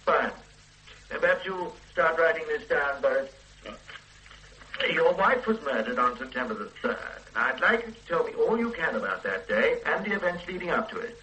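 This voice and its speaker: An actor in a radio play voicing a formal, methodical police detective who speaks in a measured, procedural way. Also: male voice, fairly high pitch, big pitch swings, sharp tone, rough recording.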